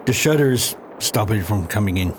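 A man's voice: fairly low pitch, animated, normal speed, background noise, lightly acted. A man playing a polite, easygoing island innkeeper in a radio play, deferential and clipped in his grammar. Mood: courteous. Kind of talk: radio theatre acting.

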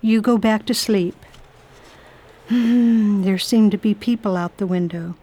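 A woman playing a brave, brisk lady traveler in a radio drama, with a commanding manner. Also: medium pitch, warm tone, close to an everyday voice. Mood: reflective. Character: firm, brave lady traveler nursing a patient.

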